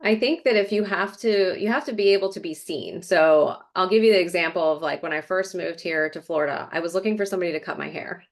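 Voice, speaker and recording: Casting: middle-aged woman, lowish voice, chatty and rambling, interview guest. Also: quick pace, few pauses. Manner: chatty, fillers, rambling storytelling. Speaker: real estate agent, guest. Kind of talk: casual interview conversation. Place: Florida.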